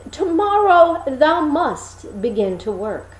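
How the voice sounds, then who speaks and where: A woman voicing a grand, commanding storybook queen with stately, drawn-out, archaic phrasing. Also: high-pitched, very animated, roomy sound, voice pushed up, full character voice, poor audio.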